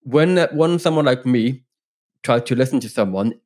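A man's voice: mid-range voice, expressive, moderate pace, a little mellow. A man in his thirties from Northern Ireland, with a blended Northern Irish, Scottish and English accent, talking earnestly and openly as a podcast guest, with some restarts. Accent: mixed Northern Irish, Scottish and English. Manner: earnest, open, frequent restarts. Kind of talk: casual interview conversation. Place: home room with bookshelves. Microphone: studio microphone on boom arm, headphones.

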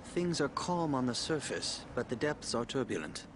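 An actor playing a calm, guarded but kindly older priest in a video game, with measured delivery. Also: male voice, medium pitch, noisy background, natural voice. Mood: grave. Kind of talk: video game cutscene acting.

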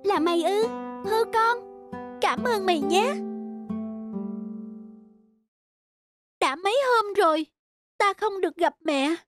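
Kind young village boy for a cartoon: very high, tender, childlike, earnest, over prominent music. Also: expressive, normal speed. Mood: gentle. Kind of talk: animated folk tale acting.

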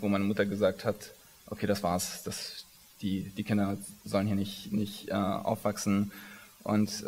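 Thirties male founder from Iran, onstage interview, low voice, restarts a lot, hall echo. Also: a little hiss or hum. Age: 30s. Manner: hesitant, many restarts and fillers. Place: large conference hall stage. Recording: long reverb of a big hall.

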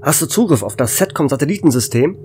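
A man's voice: a little high, lively intonation, quick pace, a little mellow, a little hiss or hum, natural voice. A man playing a desperate, weary space station officer in an audio drama, speaking in urgent, dramatic, clipped phrases.

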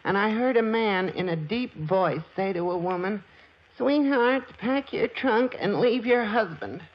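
A man's voice: high-pitched, a little slow. An actor playing a feeble, henpecked telephone lineman who delivers mournful jokes in a 1940s radio comedy. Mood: droll. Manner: droll, mournful punchline delivery. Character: sickly, henpecked telephone lineman, middle-aged. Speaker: comedy guest. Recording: dull, noisy old radio broadcast.

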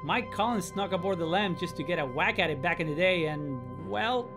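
A man's voice: high-pitched, lively intonation, normal speed, over music. An actor playing a cocky, dramatic male star robot golfer in an anime-style game, boastful and theatrical. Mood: storytelling, dramatic.

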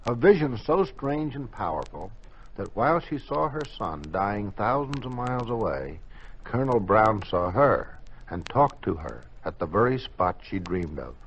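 A man's voice: on the low side, big pitch swings, normal speed, fairly bright tone, slight background noise. A man hosting and narrating a dramatic 1950s radio program of strange tales. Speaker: narrator and host. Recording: dull 1950s radio recording with music.

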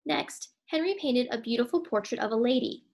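A young woman reading a children's storybook aloud in an expressive, emphatic way. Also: on the high side, fairly quick.

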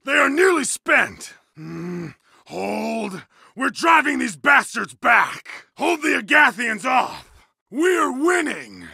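A man voicing a savage, bloodthirsty berserker warrior in a video game, bellowing battle cries and growled threats in a gravelly voice. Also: extremely high pitch, very animated, slow pace, bright, forceful tone, dry recording, raised voice, studio quality, heavily acted. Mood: commanding. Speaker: game character voice. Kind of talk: video game combat voice lines. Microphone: close studio mic.

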